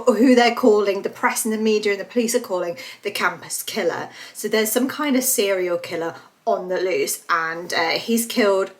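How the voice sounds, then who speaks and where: A woman's voice: mid-range voice, fairly bright tone. A young woman talks casually into her camera at home, reviewing a book. Her voice is slightly stuffy and nasal from hay fever. She chats eagerly and fills her sentences with fillers. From United Kingdom. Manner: chatty, enthusiastic, lots of fillers. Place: bright room at home.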